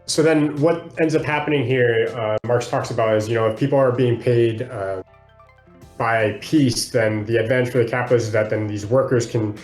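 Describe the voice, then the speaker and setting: A man talks in a roomy, echoing space with soft music behind him. He is a podcast co-host who explains things casually, feeling his way through the phrasing at an ordinary male pitch. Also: fairly even intonation, moderate pace. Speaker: freelance video editor. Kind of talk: casual book discussion, explaining. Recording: roomy echo, faint music underneath.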